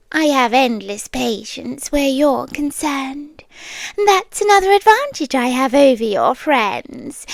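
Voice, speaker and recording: A British woman playing a doting, possessive serpent-like monster girlfriend in a breathy, soothing and controlling ASMR whisper. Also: high voice, no echo, full character voice. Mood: patient, insistent. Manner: slow, hushed, soothing and controlling. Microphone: close ASMR microphone.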